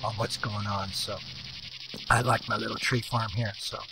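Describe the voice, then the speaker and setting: A man hosting a casual game commentary, rambling and halting with lots of fillers and restarts, with a voice that is going hoarse. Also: fairly low pitch, flat delivery, over music, rough recording. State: losing his voice. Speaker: let's play host.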